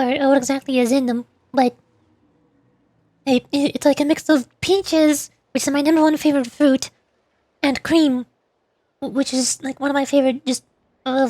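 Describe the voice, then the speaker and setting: A woman with a high voice talks casually while streaming a game. She rambles and hesitates, repeating words as she finds her way, and the sound is dry with almost no room in it. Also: darkish tone, no echo. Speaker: VTuber streamer. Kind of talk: casual game stream chat and live reading of dialogue.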